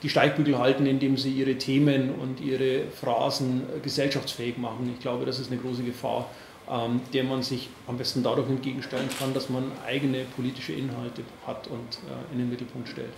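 A man in his forties with an Upper Palatinate accent, answering questions at a press conference in a halting way, with many fillers and restarts. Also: medium pitch, little pitch movement, creaky, reverberant, slight background noise. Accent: Upper Palatinate, Franconian-tinged. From Bavaria, Upper Palatinate. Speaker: party board member at press conference.

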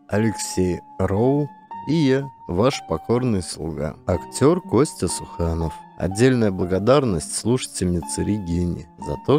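A man's voice: on the low side, warm tone. A man reading scripted narration for a satirical family comedy audiobook. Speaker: narrator, actor.

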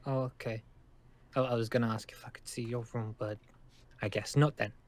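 A man's voice: medium pitch, fairly even intonation, brisk, lightly acted. A man playing a nervous, awkward but polite survivor in a Minecraft roleplay, hesitant and often stammering over repeated words.

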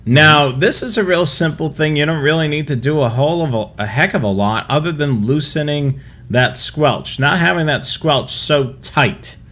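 A man's voice: fairly high pitch, normal speed, hardly any pauses, a bit bright. A middle-aged man with an American accent, co-hosting a livestream and explaining things casually from a home setup. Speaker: co-host of a police scanner show. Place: small home room with equipment, indoors.

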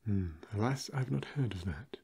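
A man playing a pompous, fussy aristocratic vampire, theatrical and archaic, in a soft ASMR roleplay. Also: lowish voice, lively intonation, moderate pace, gravelly, slightly rough, full character voice. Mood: fussy, condescending. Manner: theatrical, archaic, hesitant asides.

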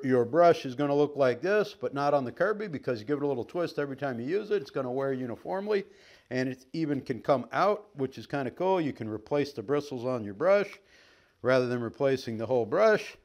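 A man's voice: on the high side, darkish tone. A middle-aged man giving a folksy, upbeat product demonstration as a sales trainer, joking as he handles the equipment in a staged living room. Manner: folksy, upbeat, jokey patter while handling gear.

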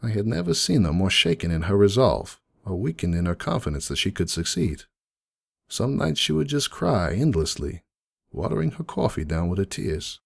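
A man acts out a world-weary middle-aged donut seller pony in a dramatic fan-fiction reading. His low, gravelly voice swings expressively as he confides his story in a folksy, rambling way. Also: very animated, normal speed, exaggerated voice. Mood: sorrowful, rueful. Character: jaded, rueful middle-aged male pony donut shop owner. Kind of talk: dramatic first-person monologue reading.

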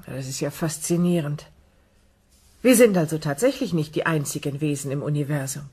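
Low, husky female interviewer. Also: moderate pace, a little mellow. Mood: curious, amazed. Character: curious, astonished female broadcast interviewer. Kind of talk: radio drama acting as a broadcast interview.

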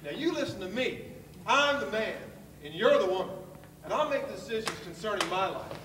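A man's voice: sits high, lively intonation, a little fast, a bit bright. A man on stage playing a henpecked, streetwise diner cook with a casual way of talking. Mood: defiant. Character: henpecked easygoing diner cook, ex-con musician. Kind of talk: amateur stage play acting. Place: theatre stage, far camera. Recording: echoey theatre hall from far off, noisy.

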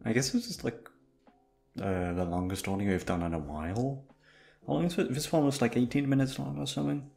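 A man with a deep voice, sleepy, rambling casually to his listeners in an offhand, hesitant, sweary way. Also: fairly quick, gravelly, a little mellow, soft background music. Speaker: audio creator talking to listeners.

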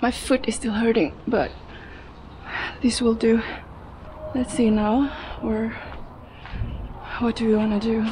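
A woman's voice: on the high side, little pitch movement, takes it slowly, pauses often, warm tone. A young adult woman talking casually to her handheld camera, thinking aloud in fragments as she explores. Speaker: urban explorer vlogger. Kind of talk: casual vlog commentary while exploring. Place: abandoned steel factory, indoors and out. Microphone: handheld action camera. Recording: noisy handheld action camera audio.

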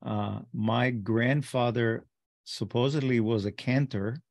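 A man's voice: medium pitch, fairly even intonation, takes it slowly, creaky, a little mellow, no echo. A middle-aged man from Israel with a light Israeli accent, hosting an interview on a video call and asking loose, leading questions. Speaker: host, interviewer. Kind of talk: casual interview talk. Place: home office.

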